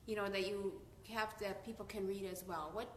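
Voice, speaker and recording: A woman's voice: medium pitch, steady tone, fairly quick, reverberant, slight background noise. A woman interviewer asking her question hesitantly, with several restarts.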